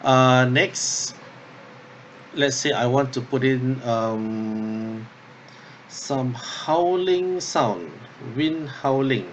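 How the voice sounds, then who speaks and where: A man instructor talking through an unscripted tutorial step by step, thinking aloud haltingly and often checking in with okay or yeah. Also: medium pitch, slight background noise. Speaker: drama workshop instructor.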